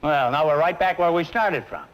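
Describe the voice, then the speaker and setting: A man's voice: fairly high pitch, moderate pace, close to an everyday voice, poor audio. An actor playing an impatient, skeptical male customer in a vintage training film. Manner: skeptical, grudging. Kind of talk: 1950s training film acting.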